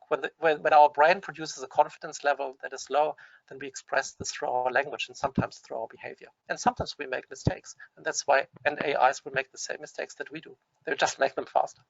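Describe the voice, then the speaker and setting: A man's voice: fairly high pitch, steady tone, fast, vocal fry, a bit bright, no echo. A man with a German accent presenting a teaching webinar, explaining with frequent restarts and fillers. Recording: dull lo-fi dry webinar audio.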